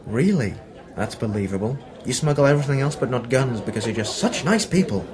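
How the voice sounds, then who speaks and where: A man's voice: mid-range voice, animated, brisk, over music, natural voice. A man playing a sarcastic, defiant newspaper reporter from the 1940s in an amateur radio play, dry and sardonic. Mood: scornful.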